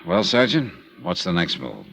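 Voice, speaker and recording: An actor playing a hard-boiled insurance investigator in a vintage radio drama, wry, terse and probing. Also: male voice, low voice, huge pitch swings, moderate pace, gravelly, fairly bright tone, heavily acted. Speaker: narrator and investigator. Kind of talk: 1950s radio drama acting.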